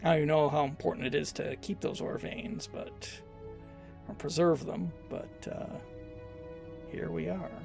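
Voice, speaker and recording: A Canadian man with a gravelly, fry-heavy voice that sits a little high for a man. He talks through a game stream in a loose, rambling way, teasing himself as he goes. Faint game music plays underneath. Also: fairly even intonation, measured pace, a little mellow. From Alberta, Canada. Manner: loose, rambling and self-deprecating. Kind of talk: live gameplay commentary while reading chat.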